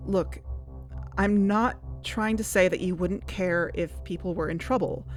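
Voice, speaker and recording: A woman's voice: mid-range voice, fairly even intonation, a little slow, soft background music, lightly acted. An actor playing a wary, probing, level-headed woman investigator in an audio drama podcast. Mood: grave, suspicious.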